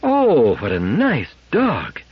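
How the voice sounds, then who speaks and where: A man's voice: medium pitch, wildly swooping pitch, takes it slowly, slightly rough, no echo, voice pushed up, exaggerated voice. A man voicing an eager, trusting little girl full of exclamations and pleading, in an audiobook story. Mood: excited, eager. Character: excited, trusting little girl, bubbly then worried. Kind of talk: audiobook character acting. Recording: dull lo-fi radio-like audio.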